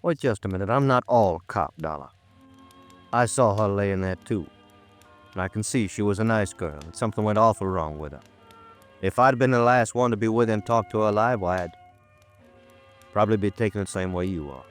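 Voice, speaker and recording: A world-weary police lieutenant acted by a man with a low voice, blunt and colloquial. He talks like a working cop in a vintage radio crime drama, with music in the old recording. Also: brisk, pauses often, natural voice. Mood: earnest, calming. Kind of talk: old-time radio detective drama acting.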